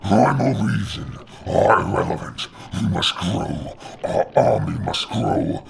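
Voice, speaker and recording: A man voicing a cruel, mocking demon lord in a gravelly growl, slow and theatrical, with noisy ambience behind him. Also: low voice, exaggerated voice. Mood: commanding. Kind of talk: comic dub villain acting.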